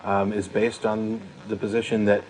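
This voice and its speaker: A man's voice: lowish voice, monotone, moderate pace, darkish tone, slight background noise, poor audio. A man speaks as a talk show host, debating in an emphatic, assertive and conversational way.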